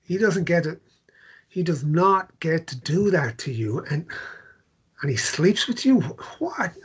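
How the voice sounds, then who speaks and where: Man acting a husky, blunt, protective man. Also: on the high side, lively intonation, measured pace, exaggerated voice. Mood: appalled, angry. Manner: blunt, probing, stammering repetitions. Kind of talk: naturalistic drama table read.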